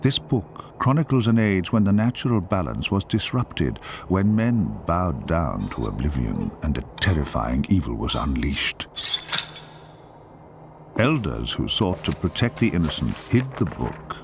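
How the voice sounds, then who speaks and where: Casting: ominous storyteller in a dark fantasy game, solemn and theatrical, rock-bottom register, dramatically swooping intonation. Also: male voice, low-pitched, very animated, a little slow, background noise, exaggerated voice. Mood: solemn, foreboding. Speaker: narrator. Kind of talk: dramatic scripted fantasy narration.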